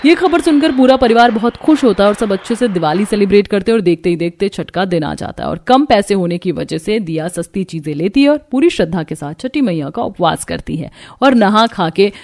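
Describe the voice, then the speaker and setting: A woman narrating a cartoon story in a calm, steady storybook style. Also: fairly quick, few pauses. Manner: calm, steady, lower than her character voices.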